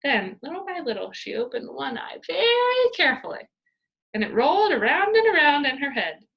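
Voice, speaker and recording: A woman with a fairly high voice reads a children's story aloud. Her delivery is very animated and dramatic, as a teacher would read to a young class. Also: moderate pace, vocal fry, dull audio.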